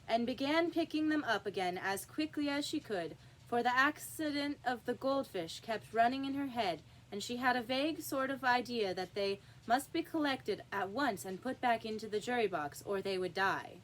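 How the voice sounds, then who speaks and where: A woman's voice: fairly high pitch, expressive, moderate pace, hardly any pauses, poor audio. A woman reading a children's classic aloud as a storyteller, with emphatic, acted-out delivery. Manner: emphatic, acts out character dialogue. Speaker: storybook reader.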